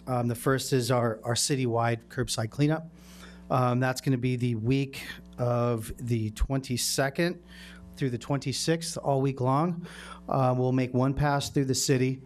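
Man, 30s-40s, mid-pitched, informal presenter at a podium, slight hum. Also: darkish tone. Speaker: company representative at public comment. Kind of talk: informal spoken update. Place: council chamber. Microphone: podium gooseneck microphone. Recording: faint hum and noise.

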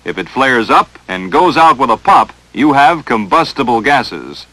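Bright, animated American training-film narrator man. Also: medium pitch, unhurried, no room sound, low-quality recording. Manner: stern, emphatic, dramatic coaching delivery. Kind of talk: 1940s instructional film narration, second-person. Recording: vintage film soundtrack.

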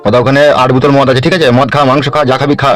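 A man voicing a foul-mouthed, jealous village politician in a comedy cartoon, gruff and scolding. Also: medium pitch, flat delivery, rapid-fire, over music, lightly acted. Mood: bossy. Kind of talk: amateur comedy cartoon dialogue.

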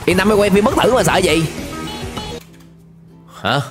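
A young man with a high, swooping voice and a southern Vietnamese accent reacts to a cartoon as it plays. He is playful and exclamatory, commenting as he goes over clearly audible music. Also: lively intonation, measured pace. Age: young adult. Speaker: reaction video host. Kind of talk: excited running commentary on a cartoon. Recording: music behind the voice.

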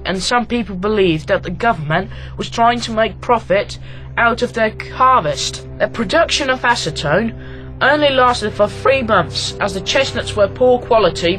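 A young man from Latvia reading aloud a school heritage project, careful and a little halting, with faint music underneath. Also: sits high, fairly bright tone, poor audio. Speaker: student presenter.

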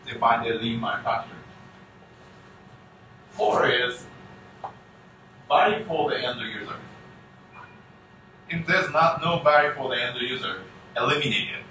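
A man gives a conference keynote talk in halting English full of restarts, speaking from the front of a conference room. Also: mid-range voice, sharp tone. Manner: halting non-native English, frequent restarts. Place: conference room with projector screen. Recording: echoey room, distant mic, faint hum.

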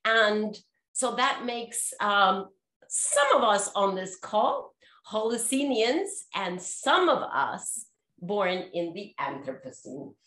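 A woman in her sixties from Costa Rica, a panel guest speaking slowly and deliberately with gentle humor from her living room. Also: mid-range voice, sing-song. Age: 60s. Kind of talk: reflective conversation. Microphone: studio mic on a desk stand. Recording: echoey room.

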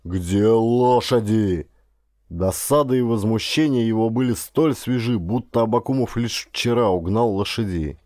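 A man's voice: mid-range voice, moderate pace, hardly any pauses, a little mellow, no echo, studio quality. A man reading a first-person adventure story as an audiobook narrator, in a scripted literary style.

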